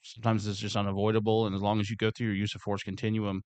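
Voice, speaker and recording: A man with a slightly hoarse voice, speaking as a security supervisor in casual workplace talk, rambling and restarting his words. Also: on the low side, completely flat delivery, very fast, a little mellow, no echo. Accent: Midwestern American.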